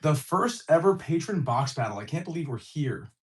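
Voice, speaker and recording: A man hosting a live card-opening stream, excitable and hyped, greeting viewers, his voice a little off as he recovers from illness. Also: on the high side, normal speed, husky.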